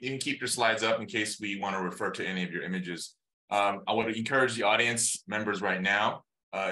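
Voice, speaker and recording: A man's voice: lowish voice, pushed and bright, a little hiss or hum, low-quality recording. A man hosting an informal panel discussion as its moderator, speaking over a video call.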